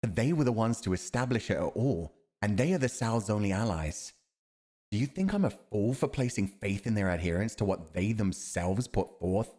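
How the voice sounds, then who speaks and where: Male actor as steadfast fantasy war commander: very low voice, formal, measured, honourable. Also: animated, darkish tone. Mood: earnest, resolute. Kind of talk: fantasy audio roleplay acting.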